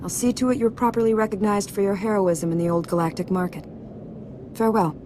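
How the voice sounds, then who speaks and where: A woman's voice: mid-range voice, fairly even intonation, fast talker, natural voice. A woman playing a proud, self-righteous senator in a video game, speaking earnestly and formally. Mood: resigned. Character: proud, self-righteous female senator defending her crimes. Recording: clearly noisy background ambience.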